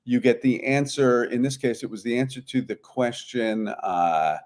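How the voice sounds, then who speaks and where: A man's voice: medium pitch, moderate pace, darkish tone. A man speaking as an interview guest, giving conversational, explanatory answers. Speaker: guest, puzzlemaker.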